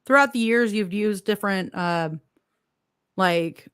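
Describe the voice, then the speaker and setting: A woman hosting a casual chat, with a slightly squeaky voice that laughs and reacts readily. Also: slow pace, clean tone, no room sound, studio quality. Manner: giggly, animated reactions. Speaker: host.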